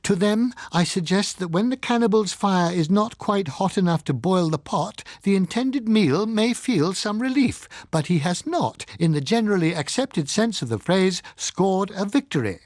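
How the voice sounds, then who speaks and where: A man's voice: sits high, animated, moderate pace, hardly any pauses, a little mellow, no echo, clean studio sound, voice pushed up. A man reading an audiobook, playing an emphatic, rhetorical male scientist giving a sombre broadcast commentary. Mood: wry, emphatic. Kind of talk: dramatised audiobook character speech.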